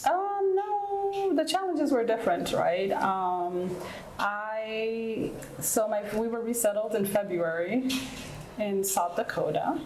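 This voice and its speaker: A woman's voice: medium pitch, lively intonation, slow pace, darkish tone, echoey room, a little hiss or hum, low-quality recording. A woman from Ethiopia and South Sudan, whose first language is Nuer, answering interview questions frankly and reflectively, often checking in with "right?". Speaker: guest.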